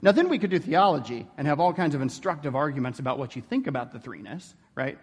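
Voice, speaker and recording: A man's voice: fairly high pitch, big pitch swings, fast, slightly rough, long reverb tail. A man giving an informal theology lecture in a conversational way, often checking in with rhetorical questions.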